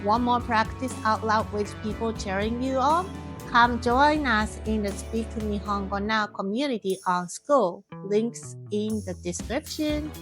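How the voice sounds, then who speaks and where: A woman's voice: fairly high pitch, unhurried, over music. A woman giving scripted language instruction in short, encouraging phrases, speaking directly to the learner as a teacher. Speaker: Japanese language teacher and host.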